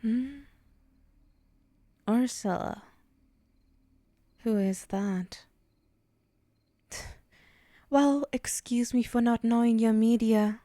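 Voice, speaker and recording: Woman acting a slow-talking, haughty demon temptress. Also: fairly high pitch, steady tone, mellow, dry recording. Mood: impatient, coaxing. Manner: haughty, teasing, sighing, theatrical. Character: vain, sly female demon striking soul bargains. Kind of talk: ASMR roleplay monologue to the listener.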